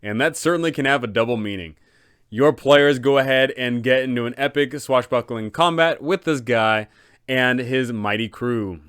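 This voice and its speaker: A man's voice: medium pitch, moderate pace, fairly bright tone, clear, resonant tone, no echo, studio quality. A man hosting an enthusiastic explainer for tabletop game masters, with a dramatic, emphatic storytelling delivery. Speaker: host, tabletop game advisor.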